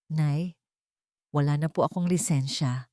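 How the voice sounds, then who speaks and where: A woman's voice: low voice, unhurried, dark tone, no echo, clean studio sound. A woman with a Filipino accent voicing a remorseful grown son in an audio story, pleading deferentially with his mother. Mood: humbled, pleading.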